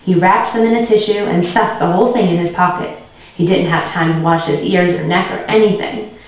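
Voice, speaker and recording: A woman's voice: on the low side, fairly even intonation, fairly quick. A woman tutor reading a children's storybook aloud as narrator. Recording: very echoey room, distant muffled mic.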